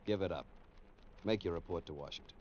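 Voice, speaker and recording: An actor playing a coolly pragmatic, pessimistic government official, measured and blunt, in old-time radio drama style. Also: male voice, sits low, darkish tone, a little hiss or hum, lightly acted. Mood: coolly resigned. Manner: measured, blunt, matter-of-fact. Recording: dull vintage radio recording.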